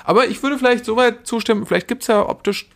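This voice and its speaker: A man's voice: very high voice, fast, fairly bright tone. A man co-hosting a podcast in casual chat, teasing wryly and thinking aloud. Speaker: podcast co-host.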